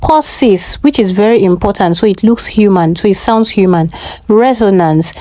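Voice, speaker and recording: A woman's voice: medium pitch, normal speed, mellow, no room sound. A woman hosting a tutorial, explaining step by step and often checking in with short questions. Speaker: tutorial host.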